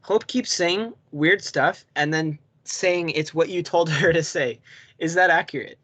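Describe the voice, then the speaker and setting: A man's voice: fairly high pitch, unhurried, fairly bright tone. A young man guesting on a casual podcast over a voice call, speaking in slangy, playfully sarcastic banter.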